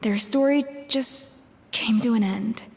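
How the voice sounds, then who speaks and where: A woman playing a proud, thoughtful young female chef in a video game, speaking in a measured, articulate way. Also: on the high side, creaky, darkish tone, long reverb tail. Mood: somber, reflective. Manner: measured, articulate, reflective storytelling. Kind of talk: scripted video game dialogue. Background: background music.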